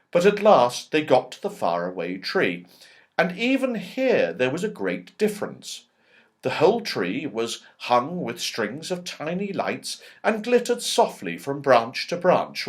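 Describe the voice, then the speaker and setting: Very animated man reading a children's story. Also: mid-range voice. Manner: animated, dramatic pauses and emphasis. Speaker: audiobook reader. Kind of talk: expressive children's storybook narration.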